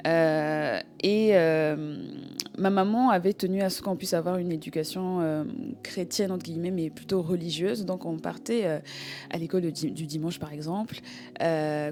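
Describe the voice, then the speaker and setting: Woman with lowish voice, haltingly sharing testimony. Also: warm tone. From Congo. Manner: halting, searching for words, repeating herself. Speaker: guest giving a testimony.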